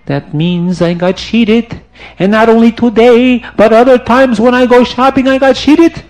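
A man voicing a gigantic, hot-tempered male customer who shouts in broken English, high and loud. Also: fairly even intonation, measured pace. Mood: suspicious.

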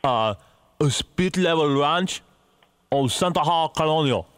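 Swooping, pushy foreign house buyer. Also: male voice, a little high, expressive, measured pace, clean tone. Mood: deadpan, particular. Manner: broken English, blunt, stubbornly repetitive. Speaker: radio prank caller. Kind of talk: prank phone call, comic character.

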